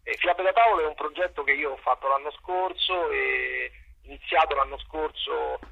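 Hesitant, reflective man with a husky voice. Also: on the high side, steady tone, normal speed, phone-quality sound. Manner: hesitant, reflective, repeats words while searching for phrasing. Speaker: guest theatre director. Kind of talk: conversational interview.

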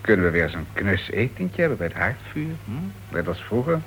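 A faintly noisy old radio play recording of a smug, menacing ex-lover. He talks down with mocking, insinuating condescension. His pitch moves freely and theatrically. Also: very animated, brisk, fairly bright tone. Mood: smug, teasing. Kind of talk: Dutch radio play acting.

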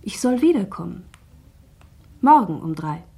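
An actress playing an exhausted young woman in a 1960 German radio drama, answering in quiet, terse lines. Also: on the low side, sing-song, a little slow, creaky, breathy edge, full character voice. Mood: weary. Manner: quiet, terse, weary replies.

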